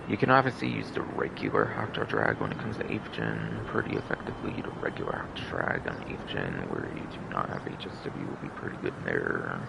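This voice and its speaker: Male game streamer, low and very creaky, repetitive rambling commentary. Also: huge pitch swings, brisk, hardly any pauses, heavy background noise. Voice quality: gravelly, creaky. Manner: repetitive, circling back over phrases. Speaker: game livestreamer.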